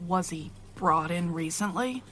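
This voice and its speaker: Strict suspicious nurse, officious, questioning, radio drama, faint music. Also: female voice, fairly low pitch, lively intonation, measured pace, a bit bright. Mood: suspicious, stern. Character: suspicious, by-the-book female hospital nurse.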